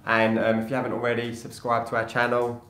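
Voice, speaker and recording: A young man explains a workout in a relaxed, jokey way, his voice sitting at an ordinary middle pitch. He is heard through a camera across a small studio room, with a clear echo and faint noise around him. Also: fast. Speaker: fitness instructor. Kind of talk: casual workout chat. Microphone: far camera. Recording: echoey room.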